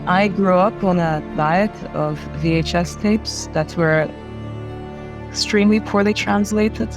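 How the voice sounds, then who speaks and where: A woman's voice: on the low side, a little slow. A woman from Eastern Europe who works as a filmmaker, talking reflectively in a film discussion. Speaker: filmmaker, commentator. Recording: music playing behind the voice. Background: background music.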